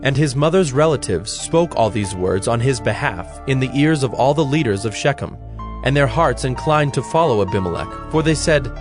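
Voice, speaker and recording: Male narrator for dramatized scripture, medium pitch, measured and solemn, light music underneath. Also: animated.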